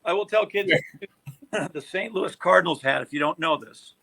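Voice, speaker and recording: Male American sports podcast host, fairly high and sharp-toned, joking and conversational. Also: normal speed, vocal fry, husky, rough recording. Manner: joking, teasing. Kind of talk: casual sports chat.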